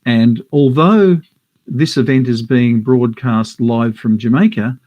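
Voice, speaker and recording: A man's voice: medium pitch, slow, lo-fi sound. Chatty Australian man hosting. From Western Australia. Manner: chatty, with many fillers and restarts. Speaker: host. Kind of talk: casual live-stream commentary. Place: home office.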